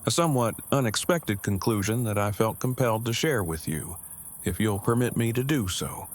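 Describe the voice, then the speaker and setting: A man's voice: fairly low pitch, animated, normal speed. A man acting an awkward, earnest survivor in an audio drama, speaking in formal, wordy, stilted phrases. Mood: earnest, nervous. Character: awkward, earnest, verbose male survivor in a zombie apocalypse.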